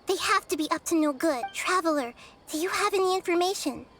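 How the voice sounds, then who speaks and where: A woman voicing a small, girlish young goddess who explains things gently and patiently in a video game. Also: very high voice, expressive, music in the background. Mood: troubled. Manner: measured, explanatory. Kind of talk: video game character acting.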